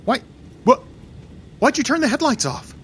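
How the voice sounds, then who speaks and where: A man's voice: very high voice, big pitch swings, normal speed, a bit bright, slight background noise, heavily acted. An actor playing an irascible, domineering rich older man, imperious and sputtering, who turns cowardly under threat, in old-time radio horror style. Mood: alarmed, demanding. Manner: blustering, imperious, sputtering and stammering.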